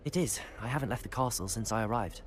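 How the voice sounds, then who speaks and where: A woman's voice: very deep voice, fast, husky. A woman playing a polite, keen teenage witch student in a video game.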